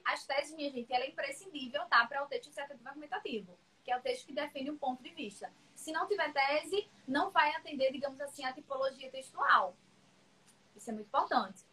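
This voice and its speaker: A woman's voice: high-pitched, sharp tone, a little hiss or hum, poor audio. A woman teaching essay writing on a live stream, chatty and reassuring, calling viewers by name. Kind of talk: live-streamed class, casual chat.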